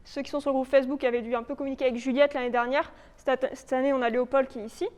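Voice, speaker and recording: A woman with a high, smooth voice gives an informal presentation. She explains things hesitantly, as if putting the sentences together as she goes. The sound carries the long reverberation of a meeting hall. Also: flat delivery, rapid-fire, a little mellow. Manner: hesitant, loosely improvised explaining. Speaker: presenter for a local authority biodiversity project. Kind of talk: informal spoken presentation with slides. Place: reverberant indoor meeting room, live audience presentation.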